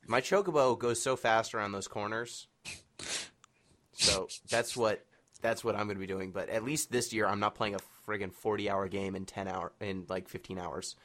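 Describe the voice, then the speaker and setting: A man's voice: lowish voice, steady tone, moderate pace, a bit bright. A man chatting casually about video games in an emphatic, playful, bantering way. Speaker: podcast guest, gaming marathon runner.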